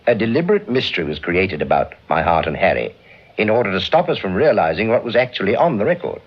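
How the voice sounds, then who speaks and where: An actor playing an authoritative, avuncular British senior investigator in an old radio drama. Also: male voice, on the low side, big pitch swings, quick pace, lo-fi sound. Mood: measured, explaining. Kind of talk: mid-century British radio drama acting.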